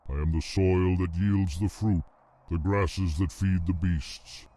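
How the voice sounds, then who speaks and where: A man's voice: deep voice, steady tone, takes it slowly, dark tone. A man voicing an ancient, stern nature spirit in a fantasy game, with stately, incantatory phrasing. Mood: solemn. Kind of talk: fantasy game cutscene dialogue.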